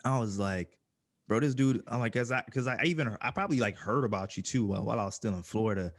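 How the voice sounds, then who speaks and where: A man's voice: on the low side, fast talker, no room sound, clean studio sound. A man hosting a casual podcast interview, talking in an informal, slangy way. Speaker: podcast host.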